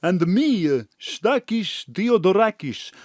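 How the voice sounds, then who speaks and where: An actor playing an earnest, lovelorn chip shop owner with a Greek accent and exaggerated broken English in a comic audio drama. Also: male voice, high voice, sing-song, takes it slowly, darkish tone, no echo, clean studio sound, exaggerated voice. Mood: jovial.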